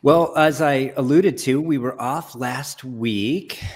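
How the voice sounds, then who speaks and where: A man hosting a live online show about comic conservation, talking in a relaxed, rambling, self-deprecating way. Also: medium pitch, slow. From Texas. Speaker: host. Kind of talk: casual live show chat.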